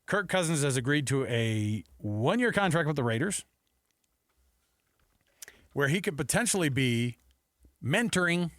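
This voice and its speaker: A man's voice: fairly high pitch, lively intonation, unhurried, long pauses, a bit bright, no room sound. A man hosting sports radio with casual, conversational and playful delivery on a close studio mic. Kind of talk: casual sports talk with anecdotes. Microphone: close studio radio microphone.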